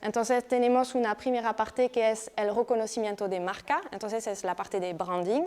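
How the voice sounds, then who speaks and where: A woman from France with a French accent, giving a conference talk in a measured, explanatory way, often hesitating and checking in with her audience. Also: a little high, fairly even intonation, darkish tone. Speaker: speaker.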